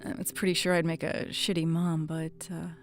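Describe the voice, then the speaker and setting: Hesitant, lowish-voiced, troubled woman. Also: completely flat delivery, normal speed, slight background noise. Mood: awkward, apologetic. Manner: hesitant, stammering, apologetic. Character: troubled, self-doubting former policewoman, hesitant and earnest. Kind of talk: naturalistic audio drama dialogue.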